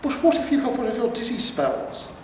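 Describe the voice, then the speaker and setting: A man's voice: very high voice, sing-song, a little fast, far from the mic, voice pushed up. A man voicing a nagging, cautious talking horse in a fantasy storybook reading. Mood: worried nagging. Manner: nagging, questioning. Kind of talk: acted character dialogue in a fantasy storybook reading. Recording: very echoey reverberant room, faint noise.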